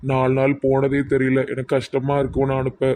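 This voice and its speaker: A man's voice: mid-range voice, fairly quick, slight background noise, natural voice. A man voicing an affectionate young husband who speaks tenderly and plainly. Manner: tender, plain and flat-toned.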